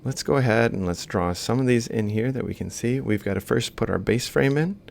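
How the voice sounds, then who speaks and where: A man's voice: mid-range voice, a little fast, vocal fry. A man lecturing informally, thinking aloud as he explains step by step while drawing. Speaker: robotics lecturer.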